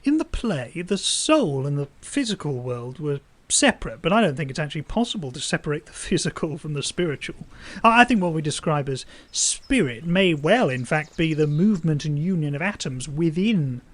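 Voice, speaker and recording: An earnest schoolteacher in a radio play. His voice is notably high for a man. He rambles along chattily and a little tediously, poking fun at himself. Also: very animated, moderate pace. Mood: earnest, musing. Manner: earnest, rambling, self-deprecating. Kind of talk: audio drama acting.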